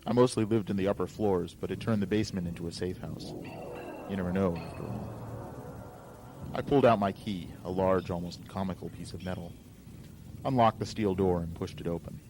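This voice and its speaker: Very low male voice, story narration, first-person fiction, clear background noise. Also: brisk.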